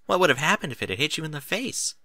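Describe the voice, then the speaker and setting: A man voicing a female pony schoolteacher, concerned and gently stern, with proper, admonishing speech. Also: on the high side, sing-song, fast, no room sound, voice pushed up, exaggerated voice. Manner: proper, admonishing, careful diction.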